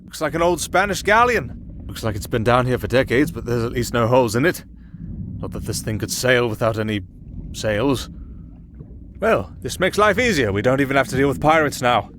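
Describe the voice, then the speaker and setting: Castaway sailor-singer for fantasy audio roleplay, male actor. Medium male pitch, wry and faintly sad, halting asides, noticeable background ambience. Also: lively intonation, fairly bright tone, close to an everyday voice. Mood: wry, practical. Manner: hesitant, dryly sardonic, chatty. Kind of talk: ASMR roleplay monologue. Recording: clearly audible ambient noise under voice.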